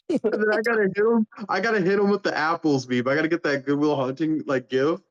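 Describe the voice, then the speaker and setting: A man's voice: fairly high pitch, a little fast. Chatty man, dull lo-fi audio. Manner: slangy, verbose, rambling. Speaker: guest being personality-typed. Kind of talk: casual chat.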